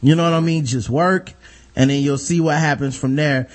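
High-voiced man hosting a podcast. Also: fairly even intonation, moderate pace. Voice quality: high-pitched voice. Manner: laid-back, rambling, lots of fillers. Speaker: podcast host. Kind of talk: casual podcast chat.

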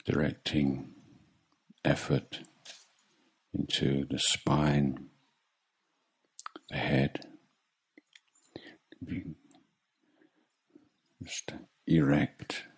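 Really low husky guy guiding meditation, calm, super slow with long gaps. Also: low-quality recording. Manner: calm, measured, long pauses between short phrases. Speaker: Buddhist monk meditation teacher. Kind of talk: guided meditation.

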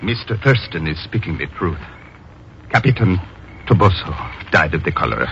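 A grave man of medicine, with a very deep voice. He speaks in measured 1950s radio-drama style. The recording is muffled and hissy. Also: sing-song, heavily acted. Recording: muffled old radio recording, clear hiss.

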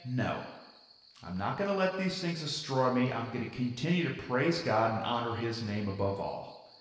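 An actor playing an earnest, folksy youth camp preacher, giving a conversational sermon full of rhetorical questions. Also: male voice, fairly high pitch, fairly bright tone. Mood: earnest, teaching. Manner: rhetorical questions, conversational tag words like "right?" and "okay?". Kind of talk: sermon to young campers. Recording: echoey hall, distant from the mic.

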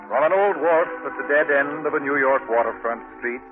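A man's voice: on the high side, a little fast. A man narrating a radio play in dramatic, scripted 1940s style. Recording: tinny old radio broadcast with music.

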